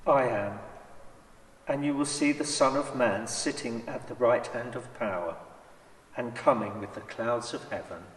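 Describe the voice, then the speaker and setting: A man playing a calm, resolute religious leader under arrest in a measured, solemn scripture reading. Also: medium pitch, a little hiss or hum, natural voice. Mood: calm, assured. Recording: echoey church reverb, distant mic.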